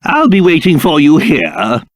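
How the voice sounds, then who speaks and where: Fussy old creature king, haughty, theatrical, hugely animated pitch, unhurried delivery, dry studio sound, video game character. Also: no echo. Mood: plaintive, earnest. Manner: pompous, theatrical, exclamatory. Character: fussy, haughty old cursed king, a doting father turned strange creature.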